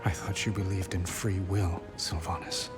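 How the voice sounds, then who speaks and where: A man's voice: low voice, little pitch movement, moderate pace, soft background music, lightly acted. An actor playing a defiant young captive king in a game cinematic, intense and accusing. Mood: quiet reproach. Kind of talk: dramatic game cinematic acting.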